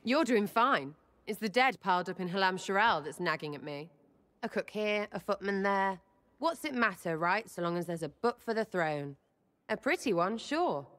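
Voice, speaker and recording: A woman's voice: mid-range voice, expressive, normal speed, fairly bright tone, in a large hall, lightly acted. A woman playing a brash, irreverent young elf rebel in a video game, with an English accent and blunt, slangy, cheeky delivery. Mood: bitter, irritated. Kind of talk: video game cutscene acting.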